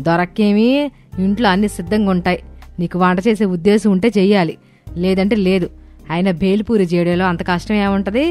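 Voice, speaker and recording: A woman playing an older, domineering, nagging mother-in-law in an animated story, scornful and complaining. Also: mid-range voice, fairly quick, close to an everyday voice. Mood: irritated, demanding. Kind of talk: animated moral story dialogue. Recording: voice over prominent music bed.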